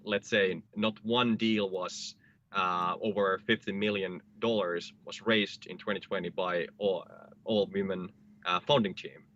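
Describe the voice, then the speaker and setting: Man on a panel, very deep voice, dull call audio, faint background noise. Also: pushed and bright. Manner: earnest, halting repeats. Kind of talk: panel discussion.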